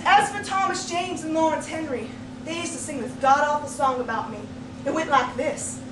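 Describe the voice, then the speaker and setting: Female contest storyteller, very high voice, theatrical and folksy, performing a tall tale; noisy live room. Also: a crowd in the background. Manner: dramatic, emphatic delivery with deliberate pauses; folksy storytelling turns of phrase. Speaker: competitive speech contestant telling a tall tale. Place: indoor speech contest room, distant microphone. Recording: roomy, noisy live recording from far off.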